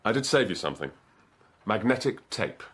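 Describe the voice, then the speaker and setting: An actor playing a genial, obliging police inspector in a radio drama. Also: male voice, lowish voice, sing-song, normal speed, fairly bright tone, slightly rough, exaggerated voice. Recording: broadcast radio drama with faint noise.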